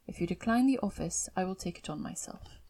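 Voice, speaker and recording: A wry, unruffled gentleman father voiced by a woman narrator in a classic novel audiobook. He teases with formal, measured irony and never hurries to the point. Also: fairly low pitch, animated. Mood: ironic. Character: dry, teasing middle-aged father, wry and unruffled gentleman.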